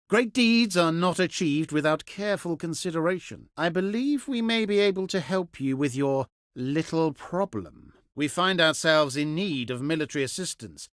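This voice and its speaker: Game role: a pompous, pious ancient envoy, higher male register, very expressive intonation, dry studio sound. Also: dry recording. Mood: courteous, self-important. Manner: formal, pompous, measured oratory. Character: haughty, cultured Etruscan diplomat, pious, omen-reading, condescending man. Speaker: diplomat envoy in a strategy game. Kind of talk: theatrical video game voice lines.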